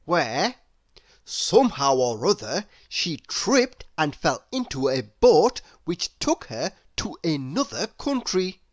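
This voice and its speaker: Woman reading a children's story, lively pitch. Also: no echo. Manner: deliberate, theatrical, with playful exclamations. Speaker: read-aloud storyteller. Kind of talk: expressive children's picture book narration.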